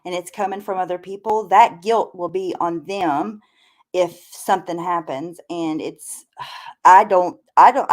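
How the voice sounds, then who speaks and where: A woman chatting casually on a live stream as a panelist, blunt and opinionated, swearing freely. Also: on the low side. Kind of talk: casual gossip chat.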